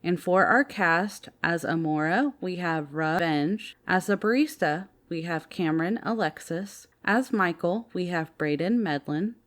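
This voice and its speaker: A woman's voice: lowish voice, measured pace, hardly any pauses. A woman reading scripted credits as the announcer of an audio drama. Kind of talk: scripted narration.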